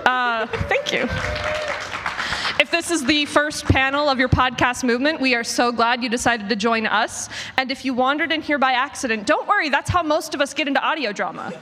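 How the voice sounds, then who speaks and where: A woman's voice: sits high, moderate pace, pushed and bright, some chatter behind. A woman moderating a live panel, speaking as host to an audience in a conference room. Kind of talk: live panel introduction and hosting. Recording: reverberant conference hall, clearly noisy.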